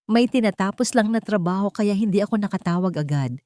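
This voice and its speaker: A guarded rich man in an audio drama, acted out by a woman, tense and trying to sound normal. The pitch is lively and the sound is dry. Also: brisk, no echo, natural voice. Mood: guarded, uneasy. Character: tense, conflicted wealthy man hiding a secret.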